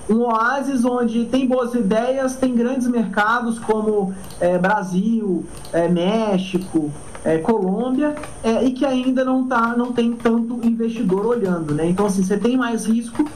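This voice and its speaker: A man's voice: extremely high pitch, a little hiss or hum, rough recording. A startup cofounder, a man, answering an interview casually and padding his speech with fillers and tag questions.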